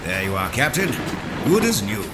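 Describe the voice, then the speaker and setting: A man's voice: fairly low pitch, big pitch swings, normal speed, loud music behind the voice. A man in an audio play acting as a genial master engineer at a shipyard, courteous and admiring. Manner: courteous, appreciative.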